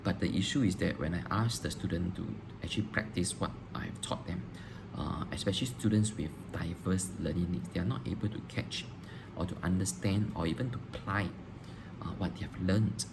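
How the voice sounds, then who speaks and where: A man, a teacher answering interview questions on video, pausing often with ums and restarting phrases. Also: sits low, vocal fry. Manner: hesitant, frequent ums and restarts. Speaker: teacher applying for a job. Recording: noisy background hiss.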